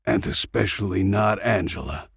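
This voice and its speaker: A man voicing a weary, gruff, stubborn male soldier in an audiobook story. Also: lowish voice, normal speed, no room sound, studio quality, full character voice. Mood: stubborn, grim.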